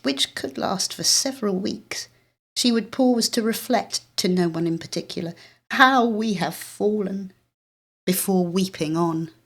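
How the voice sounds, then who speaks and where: A British actress narrates literary fiction in the first person. Her voice sits low, and she tells the story with measured, expressive delivery and a sense of drama. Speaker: actress reading a short story.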